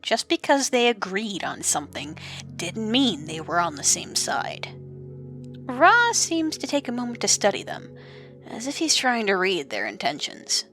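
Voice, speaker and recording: Expressive woman narrating an audio drama. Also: medium pitch, unhurried. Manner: expressive, suspenseful. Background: music.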